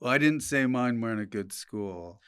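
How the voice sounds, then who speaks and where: Clear-voiced man hosting, wry. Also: medium pitch, expressive, normal speed, fairly bright tone. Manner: wry, joking, interjecting. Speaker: podcast host. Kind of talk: casual chat.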